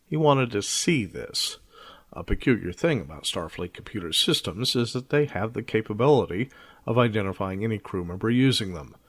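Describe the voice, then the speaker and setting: A man's voice: fairly low pitch, lively intonation, normal speed, dry recording. A man narrating a scripted science fiction audio drama in a wry storytelling manner.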